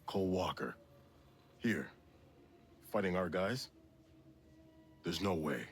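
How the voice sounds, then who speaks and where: An actor playing a blunt, disillusioned soldier in a video game cutscene, talking conversationally. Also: male voice, low-pitched, animated, slow, husky, full character voice. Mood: disbelieving.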